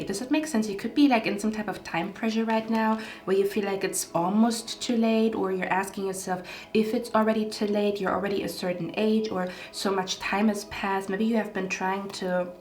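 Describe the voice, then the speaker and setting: A woman giving a casual pick-a-card tarot reading to camera, rambling with many fillers and asking "okay?" after her phrases. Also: medium pitch. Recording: echoey room.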